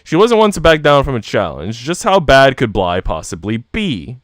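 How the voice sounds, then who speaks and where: A man's voice: medium pitch, wildly swooping pitch, moderate pace, dry recording, clean studio sound. A man live streaming in a joking, teasing, slangy way, switching between casual chat and reading story narration aloud. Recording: background music under voice. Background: music.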